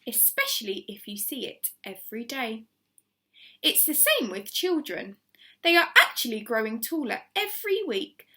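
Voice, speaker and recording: A woman reading a children's story aloud like a primary teacher, expressive and deliberate, leaning on key words. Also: on the high side.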